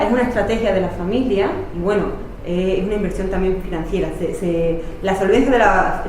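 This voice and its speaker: A woman's voice: mid-range voice, a little mellow. Woman from Spain, history lecturer, explanatory, frequent fillers, heavy room echo, distant mic. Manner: explanatory, many fillers and rhetorical tags. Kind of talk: academic talk. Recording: echoey room, speaker off-mic, faint noise.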